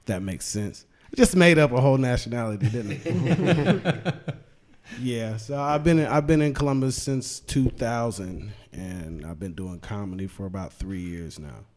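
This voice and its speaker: A man's voice: mid-range voice, moderate pace, a little mellow. A man from Texas, a comedian guest, answering with dry jokes. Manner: joking, wry.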